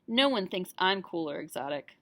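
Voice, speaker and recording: A woman's voice: fairly low pitch, lively intonation, moderate pace, no echo. A woman voicing a glum, envious little boy spoon in a children's storybook, plaintive and mumbling. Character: young boy spoon, whiny, envious, feeling blue.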